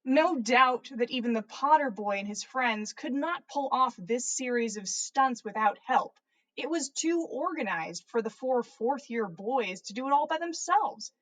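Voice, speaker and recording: A woman with a fairly high voice and lively, swinging intonation. She sounds like an informal podcast host, moving easily between story reading and casual chat. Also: measured pace, low-quality recording.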